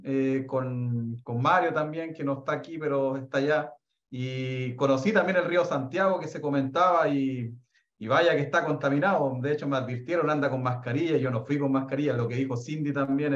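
A man in his thirties with a Chilean accent, chatting animatedly on a video call from an office and restarting his sentences often. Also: fairly high pitch. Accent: Chilean Spanish. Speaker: researcher. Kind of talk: casual panel comments on a video call. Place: office with bookshelves. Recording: roomy, dull video call audio.

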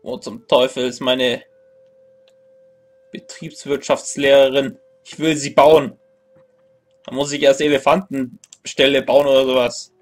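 A man's voice: mid-range voice, little pitch movement, unhurried, long pauses. A young man in his twenties casually commenting on a strategy game as he plays, thinking aloud, grumbling and correcting himself. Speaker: let's play gamer. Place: small room indoors at a computer.

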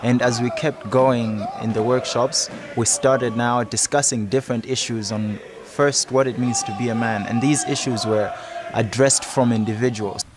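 A man's voice: medium pitch, steady tone, normal speed, background noise. A man in his twenties with a Malawian accent, speaking as a program participant in a documentary interview. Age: 20s.

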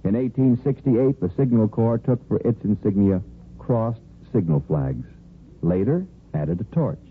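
A man's voice: fairly low pitch, animated, a little slow. A man with an American accent reading formal scripted narration as a 1950s radio announcer. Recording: tinny, faintly hissy old radio recording.

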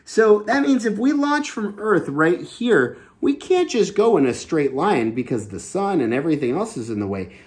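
Animated man reading to kids. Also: high-pitched. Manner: expressive, simple explaining to children. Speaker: children's storytime teacher and host. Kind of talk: storybook read-aloud with explanations for kids. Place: small indoor home room.

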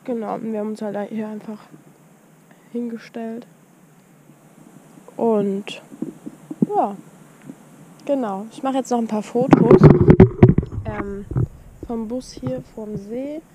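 A woman's voice: a little high, steady tone, takes it slowly, long pauses. Chatty young woman in a van. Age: young adult. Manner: chatty, rambling, hopeful, many fillers. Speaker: vlogger. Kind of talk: casual travel vlog narration. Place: inside a van on a road trip. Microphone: action camera on the dashboard. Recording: dull lo-fi camera audio with road noise.

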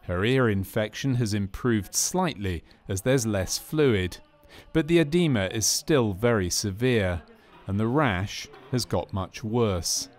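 Low-pitched male documentary narrator. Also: takes it slowly. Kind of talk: scripted narration of a medical training film.

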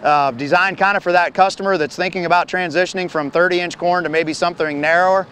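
A man's voice: high voice, steady tone, quick pace, a bit bright, a little hiss or hum. A man in his thirties explaining a machine as a company representative, speaking plainly with frequent fillers and restarts, recorded outdoors on a lapel mic. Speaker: farm equipment company representative. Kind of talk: product walkaround demo. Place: outdoors on a grassy field beside a combine.

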